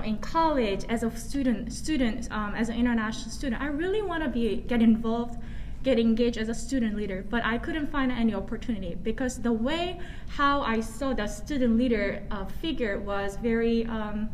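A woman from South Korea with a Korean accent, giving an earnest, halting personal talk at a panel session, with many "you know" fillers. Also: on the high side, a little hiss or hum, rough recording. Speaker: university staff speaker.

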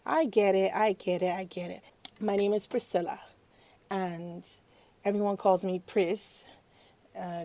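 A woman's voice: lowish voice, warm tone, dry recording. A woman co-hosting a podcast in playful, chatty conversation. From Nigeria. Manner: chatty, playful, quick to laugh. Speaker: podcast co-host. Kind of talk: casual podcast chat.

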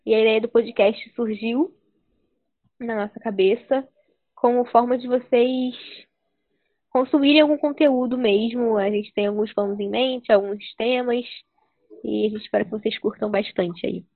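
A nineteen-year-old woman chatting casually on a podcast in a relaxed way, with many filler tags. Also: on the high side, normal speed, no echo. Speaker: youth group member, co-host. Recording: phone-like dry line.

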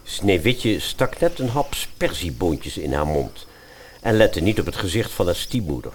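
Lage, expressieve mannelijke verteller. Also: male voice, deep voice, sing-song, measured pace, slight background noise. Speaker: narrator. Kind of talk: scripted fairy-tale narration for radio play.

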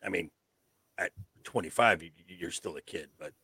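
A man's voice: sits low, normal speed, sharp tone, husky, no room sound. A man in his fifties co-hosting a podcast, arguing points casually and repeating phrases for emphasis. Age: 50s. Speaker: podcast co-host. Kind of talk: casual panel chat reviewing a show.

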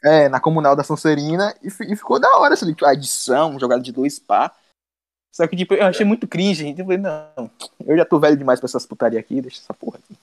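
A man's voice: on the high side, animated, quick pace, no echo. A man from Brazil telling a slangy story in a casual chat.

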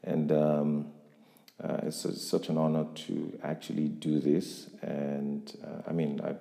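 Low male voice, mellow, motivational podcast interviewer, echoey room with long reverb. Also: little pitch movement, measured pace, gravelly. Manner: reflective, motivational, with frequent restarts.

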